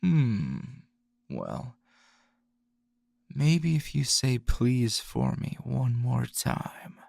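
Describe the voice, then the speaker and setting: Gravelly, slow-drawling teasing anime hero voiced by a man. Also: medium pitch, wildly swooping pitch, darkish tone, dry recording, clean studio sound, heavily acted. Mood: playful, teasing. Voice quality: gravelly, husky. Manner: slow, teasing, halting with sighs and chuckles. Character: teasing, flirtatious gruff male anime hero playing captor. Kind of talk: ASMR roleplay, intimate scripted acting.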